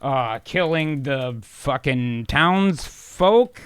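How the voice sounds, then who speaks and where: A man's voice: mid-range voice, expressive, drawn-out words, fairly bright tone, smooth, no echo. A man hosting and narrating, switching between casual sweary chat and reading screenplay directions aloud. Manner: irreverent, sweary. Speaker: host and narrator.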